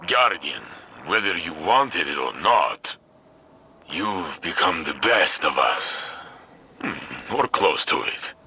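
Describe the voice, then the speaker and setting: A proud game warrior with a very low, ringing voice. He speaks slowly and bluntly, with broad, sweeping intonation and a hearty, heroic bearing. Music plays behind him. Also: sing-song, sharp tone, a little hiss or hum, exaggerated voice. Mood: sincere praise. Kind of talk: video game voice acting.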